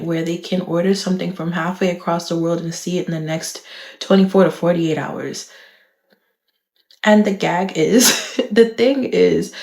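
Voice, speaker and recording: A young woman from the Bahamas, talking to camera in a thoughtful, candid, measured way. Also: lowish voice, a little mellow, reverberant. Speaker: vlogger. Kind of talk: reflective talk to camera.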